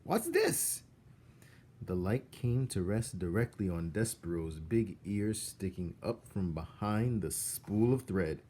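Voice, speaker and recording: A man's voice: deep voice, measured pace, hardly any pauses, mellow, slightly rough. A man reading a children's book aloud as narrator, expressively, with dramatic emphasis on key words.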